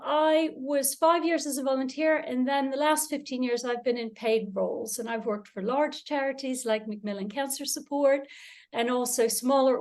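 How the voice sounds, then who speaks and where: Female panelist, high-pitched, measured and reflective self-introduction. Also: darkish tone. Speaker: panelist, charity fundraiser.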